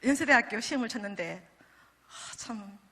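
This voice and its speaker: A middle-aged woman with a Gyeongsang accent delivers a chatty, joking sermon from the stage, teasing her listeners between her points. Her voice sits high, and it carries a long echo through a large church sanctuary. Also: lively intonation, normal speed, pushed and bright, slightly rough. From Daegu, South Korea. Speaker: pastor's wife speaking at a prayer meeting. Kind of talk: humorous testimony sermon.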